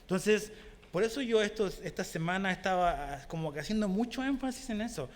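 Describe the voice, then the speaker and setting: A man's voice: high voice, animated, fairly quick, long reverb tail. A young man from Chile with a Chilean accent touched by Mexican Spanish, preaching a conversational sermon as pastor and often asking the congregation questions. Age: young adult. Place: church hall.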